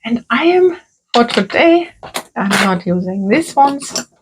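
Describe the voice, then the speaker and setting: A woman working as a creative therapist, talking gently and softly through a calm crafting tutorial. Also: fairly high pitch, slight background noise. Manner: gentle, soft-spoken, unhurried.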